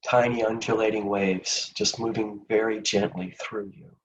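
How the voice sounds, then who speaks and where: A man leading a guided meditation in a gentle, soothing, unhurried manner with long pauses between phrases. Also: lowish voice, little pitch movement, recorded from a distance, lo-fi sound.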